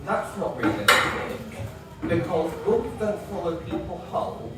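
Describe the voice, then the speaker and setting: A man's voice: fairly high pitch, very animated, unhurried. A man playing a childlike, naive mummy's boy who hosts a kids' story time with earnest rambling and many hesitations, on a live comedy stage. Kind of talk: live stand-up character comedy. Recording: echoey live venue from a distance, noisy.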